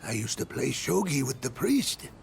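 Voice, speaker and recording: An actor playing a frail, kindly old man in a video game, with a worn voice and slow, halting speech. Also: mid-range voice, very animated, a little hiss or hum. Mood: gentle, weary. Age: older. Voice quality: creaky, worn.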